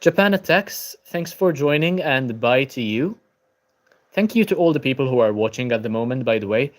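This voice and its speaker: A man's voice: mid-range voice, normal speed. Tired young man chatting. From Malta. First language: Maltese. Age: young adult. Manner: relaxed, many ums, greets viewers by name. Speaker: book channel livestreamer. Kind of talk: casual livestream chat, reading viewer comments and answering. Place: at home at a laptop.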